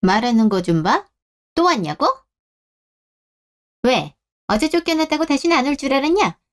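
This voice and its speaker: Woman acting harsh, shrill-pitched nagging mother-in-law. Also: animated, normal speed. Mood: indignant, scolding. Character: scolding, overbearing older mother-in-law, quick to take offense. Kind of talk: Korean radio drama acting.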